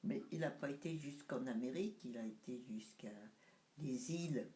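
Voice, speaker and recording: Very old lady telling family stories, halting, hunting for words, echoey room. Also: rumbling low, warm tone. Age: 90s. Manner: reminiscing, hesitant, searching for words. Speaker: mother recalling family memories. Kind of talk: casual family conversation.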